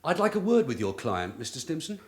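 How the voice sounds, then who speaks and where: An actor playing a shrewd, hard-nosed long-serving police detective sergeant in a radio drama, matter-of-fact and dryly authoritative. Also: male voice, a little high, very animated, fairly quick, husky. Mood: firm, official. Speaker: actor in the lead role.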